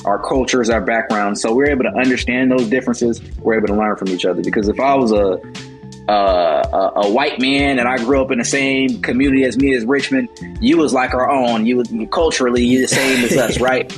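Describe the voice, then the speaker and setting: A man's voice: mid-range voice, steady tone, a little fast, soft background music. A man in his twenties with an American accent, a guest in a casual interview, talking earnestly and motivationally with many filler words. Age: 20s. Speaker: guest, travel agency founder.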